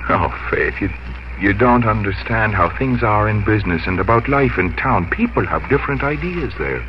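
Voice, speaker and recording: Low-voiced, halting young suitor played by an actor. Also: male voice, animated, natural voice. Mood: uneasy, evasive. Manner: halting, stammering, earnest. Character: evasive, hesitant young suitor, well-off partner's-son type. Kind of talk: 1950s radio drama acting. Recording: muffled noisy old radio broadcast.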